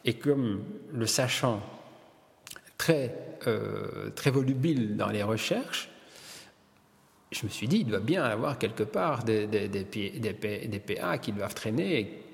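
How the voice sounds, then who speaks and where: Gravelly older man telling a story. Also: fairly low pitch, expressive, big-room sound. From Belgium. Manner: halting, restarts, repeated words. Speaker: hairdresser and publisher. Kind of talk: relaxed interview storytelling.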